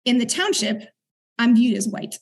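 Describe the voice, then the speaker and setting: A middle-aged woman speaks in a slightly husky, breathy voice that sits a little higher than most. She talks in an earnest, reflective way, clearing her throat now and then as she tells her story. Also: expressive, normal speed. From United States. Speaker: guest. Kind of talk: conversational interview storytelling.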